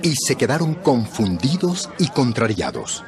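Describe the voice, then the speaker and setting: Expressive male Bible narrator. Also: medium pitch, unhurried. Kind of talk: scripted dramatized Bible narration.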